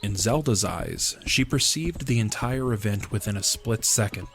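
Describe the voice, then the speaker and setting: Fantasy audio drama narrator: man, somewhat deep voice, measured scripted read over a musical bed. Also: expressive. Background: background music.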